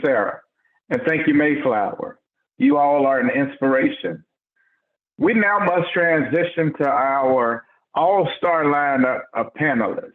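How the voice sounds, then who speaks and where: A man hosting a church event who speaks slowly and deliberately when handing off to the panel. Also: mid-range voice. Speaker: event host. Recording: tinny phone-like line, echoey.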